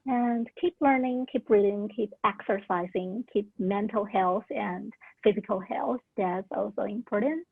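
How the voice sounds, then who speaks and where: Young woman, English speaker, earnest career-advice talk, husky, tinny phone-like call audio. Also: mid-range voice, a little slow, no room sound. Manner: earnest, with many "like" and "uh" fillers. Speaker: alumna sharing career tips. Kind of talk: casual talk at a virtual orientation. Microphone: video call.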